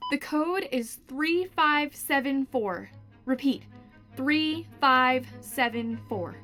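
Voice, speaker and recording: A woman's voice: high-pitched, slow pace, pushed and bright, faint music behind, heavily acted. A woman acting in an audio drama as an earnest, reassuring young woman leading her trapped friends. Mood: careful, hopeful.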